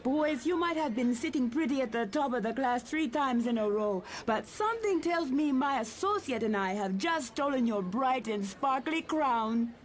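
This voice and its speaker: A woman's voice: sits high, animated, moderate pace, hardly any pauses, slight background noise. A woman voicing a proud, competitive young student heroine with an assertive manner in a motion comic. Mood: taunting. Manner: taunting, assertive.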